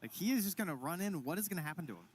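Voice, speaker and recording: A man's voice: high-pitched, sing-song, very fast, darkish tone. A man giving conversational live analysis as an esports co-commentator. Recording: clear background noise behind voices.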